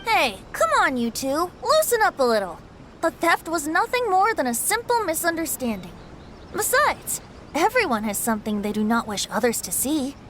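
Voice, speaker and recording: A woman's voice: high voice, big pitch swings, normal speed, a bit bright, a little hiss or hum. A woman voicing a playful, carefree young male bard who teases and laughs easily. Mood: coaxing. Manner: teasing, breezy, laughs easily.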